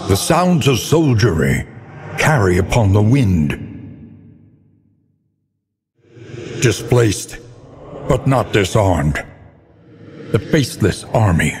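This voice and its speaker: Grim gothic game narrator: deep, slow and solemn, theatrical weight on each phrase, in a cavernous reverb. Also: male voice. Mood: ominous, grave. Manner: grave, solemn, theatrical, measured delivery. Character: grim, ominous male narrator of a dark fantasy game. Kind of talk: dramatic scripted gothic fantasy narration. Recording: long cavernous reverb, faint background noise.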